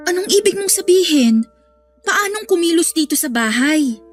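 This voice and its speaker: Woman as protective young best friend, high-pitched, blunt, emphatic, audio drama, light background music. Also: expressive, normal speed. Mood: puzzled.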